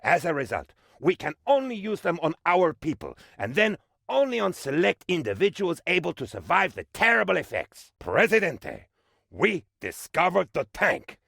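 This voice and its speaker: An eager, bumbling dictator's aide from a comic video game, speaking. His pitch swoops about excitably as he reports to his leader with shameless, theatrical officialdom. The recording is dry and close. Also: gravelly, no echo, heavily acted. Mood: proud, excited.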